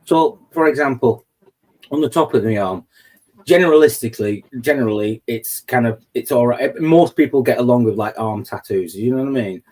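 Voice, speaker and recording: A man's voice: mid-range voice, normal speed, a little mellow. A man, hosting a casual late-night chat, rambling and boasting and laughing at his own stories. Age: 40s. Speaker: host of a live chat panel.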